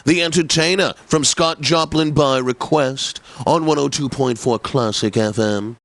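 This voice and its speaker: Pompous, snobbish classical radio DJ. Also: male voice, medium pitch, unhurried, a bit bright, dry recording. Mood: pompous, obliging. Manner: pompous, theatrical, formal enunciation. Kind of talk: satirical radio announcing in a video game.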